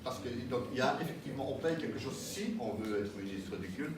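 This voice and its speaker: A man giving a public talk and answering audience questions, lively and joking, with frequent laughs. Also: mid-range voice, fast. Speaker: pastafarian minister giving a talk. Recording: echoey hall with noise, mic far off.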